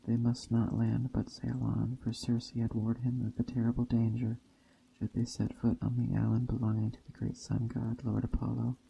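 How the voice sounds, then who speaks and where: A man tells an old myth in a very monotone, measured way. His voice is dark and soft-edged with a gravelly creak. He sometimes trips on a word as he reads. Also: mid-range voice, slight background noise, low-quality recording. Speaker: storyteller. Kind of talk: reading a Greek myth story aloud.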